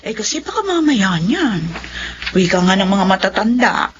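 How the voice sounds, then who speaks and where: A woman's voice: medium pitch, sing-song, normal speed, lightly acted. A woman playing a judgmental, gossiping middle-aged neighbor in a Filipino radio drama, with a Filipino accent. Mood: meddling. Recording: muffled radio drama, noisy ambience behind.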